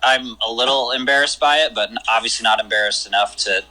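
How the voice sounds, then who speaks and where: A young man from Michigan calling in to a podcast, talking casually and candidly with halting restarts. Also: medium pitch, bright, forceful tone, low-quality recording. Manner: candid, self-deprecating, halting. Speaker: caller. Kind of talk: casual phone chat.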